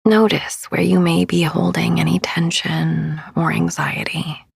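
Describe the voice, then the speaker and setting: A woman speaking in a soothing, hushed, often near-whispered voice as she guides a sleep meditation, breathy and husky with vocal fry, pausing gently between phrases. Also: on the low side, little pitch movement, no echo. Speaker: podcast host, meditation guide. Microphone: close studio microphone, dry.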